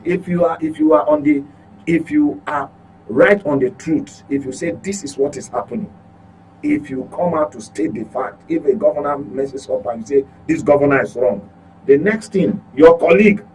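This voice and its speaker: A Nigerian man delivers opinionated political commentary in a medium-pitched voice. He is emphatic, repeating his points and posing rhetorical questions to press them home. A clear humming noise sits behind his voice. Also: lively intonation, moderate pace, a little mellow, poor audio. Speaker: journalist, commentator. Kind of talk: current affairs talk, opinionated commentary.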